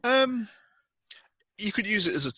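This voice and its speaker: A man's voice: high voice, very animated, measured pace, sharp tone, no room sound. A man acting as game master, narrating a tabletop roleplaying game in conversation with players.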